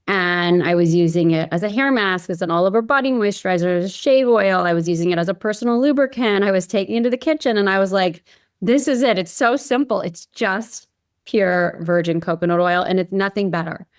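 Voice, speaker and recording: A woman's voice: mid-range voice, brisk, clean tone, no room sound, clean studio sound. A woman from Hawaii chatting informally as an interview guest, telling her own story with lots of filler phrases. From Hawaii, United States. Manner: informal, peppered with "you know" and "like". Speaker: podcast guest, company founder. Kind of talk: casual chat, recounting own story.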